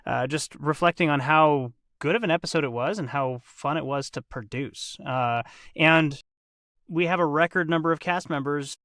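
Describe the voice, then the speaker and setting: Higher-voiced man hosting a podcast, dry close sound. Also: moderate pace, a bit bright, no room sound. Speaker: podcast host. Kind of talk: casual group podcast chat.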